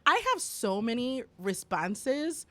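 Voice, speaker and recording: A woman co-hosting a podcast, loud and quick to laugh in casual chat. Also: fairly high pitch, sing-song, no echo. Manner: laughs often, loud, bubbly. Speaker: podcast co-host. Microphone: close studio mic.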